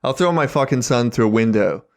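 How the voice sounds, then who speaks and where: A man's voice: medium pitch, animated, brisk. A man chatting casually and jokingly as a podcast co-host, using slang and the odd swear word. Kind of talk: casual comedic chat.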